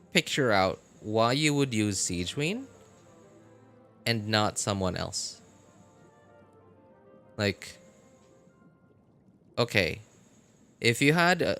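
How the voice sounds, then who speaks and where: A man talking casually on a game live stream, rambling with many fillers and getting animated about his opinions. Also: lowish voice. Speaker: gaming streamer.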